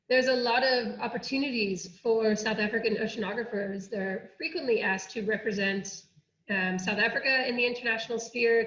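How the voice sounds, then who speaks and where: A woman's voice: fairly high pitch, a bit bright. A woman with an American accent giving an earnest, explanatory academic presentation at an online workshop. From United States. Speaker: academic oceanographer presenting a programme. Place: indoor room, online workshop. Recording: muffled, roomy online-call audio.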